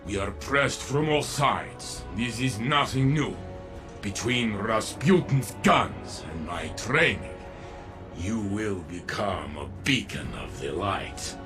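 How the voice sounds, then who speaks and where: An actor playing a hearty veteran warrior who runs a combat tournament in a video game, speaking in a grand, theatrical way. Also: male voice, lowish voice, lively intonation, music in the background. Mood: stern, rousing. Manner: theatrical, grand, measured delivery. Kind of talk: video game character acting.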